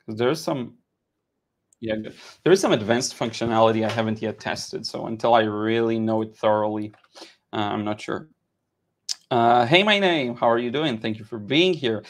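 A man's voice: mid-range voice, moderate pace. A man in his thirties from Israel talks through a watercolor painting on a live stream. His delivery is loose and conversational, full of "uh"s and restarts as he answers chat and explains colors. He is recorded indoors in a home studio on a headset mic. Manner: relaxed, chatty, frequent "uh" and repeated words. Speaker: watercolor art teacher.

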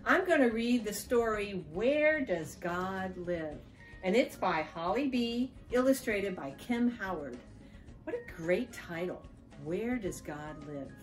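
An older American woman hosting storytime for children, expressive and sing-song as she talks and reads aloud. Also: medium pitch, slow pace, soft background music. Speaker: children's storytime host and reader. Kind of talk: reading a rhyming picture book aloud to children.

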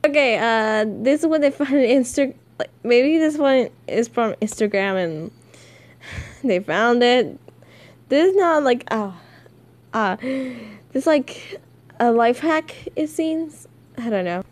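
A young woman giving casual, halting, giggly commentary as she reacts to comics as a video creator. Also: on the high side, animated.

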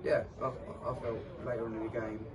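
A young man answering post-match questions as a footballer, casual and halting, repeating words. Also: mid-range voice, little pitch movement. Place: outdoors by a sponsor board at a football ground. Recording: distant mic with crowd noise.

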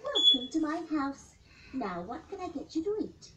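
A woman voicing a gentle, motherly young female mouse in a storybook, soft and easily worried. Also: high voice, big pitch swings, normal speed, distant mic, muffled recording. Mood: tender. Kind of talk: storybook character acting. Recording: music behind the voice.

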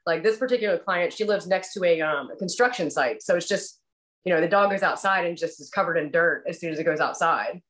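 Casting note: young woman, lowish voice, easygoing and chatty, lots of "like", webcam sound. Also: brisk. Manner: relaxed, chatty, many "like" fillers. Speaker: dog groomer, guest. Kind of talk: casual interview answers. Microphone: video call webcam.

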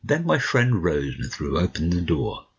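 A man with a husky voice narrating a dramatic audiobook as the detective's companion, on a slightly muffled recording. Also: low voice, huge pitch swings, moderate pace, heavily acted. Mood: measured storytelling.

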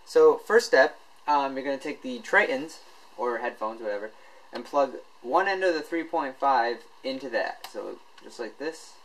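A man presenting a tech tutorial in a casual, informal, unscripted way, halting as he explains each step. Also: medium pitch, animated, low-quality recording.

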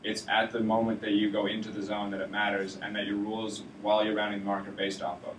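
A young man explaining sailing rules to his college team in a steady manner, with some restarts. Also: on the low side, fast, slight background noise. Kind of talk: informal lecture to a student sailing team. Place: lecture classroom. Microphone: far camera in the room. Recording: roomy classroom from far off.

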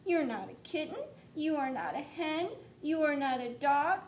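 A woman voicing a small baby bird searching for his mother, high and pleading. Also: very animated, slow pace, a bit bright, heavily acted. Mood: relieved. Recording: echoey room, faint rumble.

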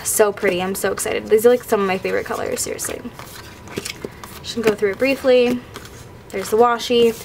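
A woman's voice: on the high side, noisy background. A woman in her twenties, recovering from illness and losing her voice, gushes through a casual haul of planner stickers at a tabletop. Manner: gushing, fast filler-heavy enthusiasm. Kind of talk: casual haul show-and-tell. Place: indoors at a table, hands-only overhead camera.

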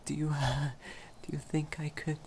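A man playing a shy, lovestruck young male vampire in an ASMR romance roleplay, stammering nervously in a soft, breathy, often whispered voice. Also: fairly high pitch, flat delivery, moderate pace, slight background noise, lightly acted. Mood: hesitant, shy. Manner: heavily stammering, hesitant, apologetic.

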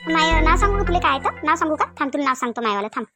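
A woman's voice: high-pitched, expressive, over music. A woman voicing a feisty, fearless young cartoon woman who scolds sharply, with a Varhadi Marathi accent from Vidarbha. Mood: angry, scolding. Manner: sharp, scolding, rapid-fire. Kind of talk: cartoon comedy dialogue.

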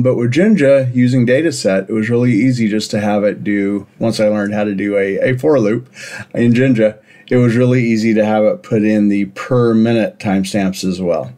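A man casually walking through a software demo over a screen recording, with plenty of ums and uhs, for his ham radio hobby. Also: on the low side. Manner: relaxed, filler-heavy explaining.

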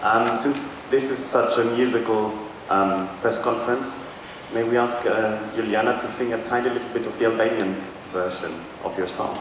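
A man's voice: mid-range voice, fairly even intonation, moderate pace, hardly any pauses. A man from Germany politely putting a question as a magazine journalist at a press conference. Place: large press conference hall, indoors. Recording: noisy echoey hall from far off.

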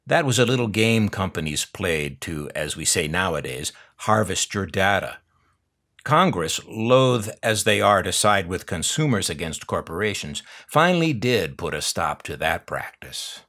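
Older American man, very animated, lowish voice. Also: a little slow. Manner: wry, dryly humorous. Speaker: host of a collector's unboxing channel. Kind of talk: scripted unboxing narration with nostalgic asides.

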